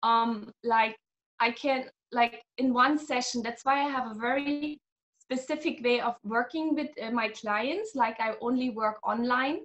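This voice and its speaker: A high-pitched woman in her thirties, originally from Germany, speaking on a video call from a small home room. She talks animatedly as a guest explaining her healing work, often breaking into laughs. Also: a little slow, a bit bright, lo-fi sound. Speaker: guest, energy healer. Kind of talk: casual video-call interview.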